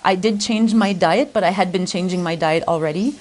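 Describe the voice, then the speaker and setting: A woman's voice: on the low side, brisk, a little mellow. A woman giving a reflective, earnest testimony as a retreat participant, with frequent "um" fillers. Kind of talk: documentary testimony.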